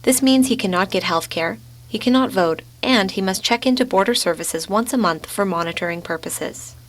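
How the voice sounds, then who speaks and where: A woman's voice: medium pitch, normal speed. A woman reading scripted radio documentary narration as a reporter.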